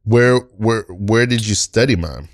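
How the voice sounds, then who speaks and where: A man's voice: lowish voice, measured pace, no room sound, studio quality. A man hosting an improv comedy talk show in a rambling, playful, casual way that often halts mid-thought.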